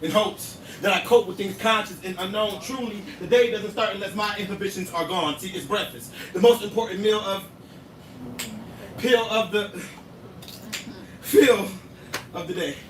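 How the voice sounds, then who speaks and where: A man's voice: high-pitched, normal speed, long pauses. A man performing a spoken word poem at a slam, with a rhythmic, intense delivery full of wordplay, recorded live at an indoor venue. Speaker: slam poet. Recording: roomy, distant live venue sound with noise.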